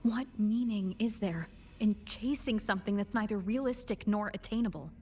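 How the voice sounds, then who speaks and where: An actress playing a firm young heroine in a video game, speaking earnestly and with stern emphasis. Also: female voice, medium pitch, normal speed, darkish tone, breathy edge, close to an everyday voice. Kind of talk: dramatic video game voice acting.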